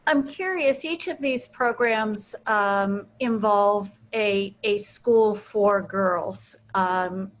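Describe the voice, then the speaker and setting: A woman's voice: mid-range voice, extremely slow, few pauses. Woman moderating a panel.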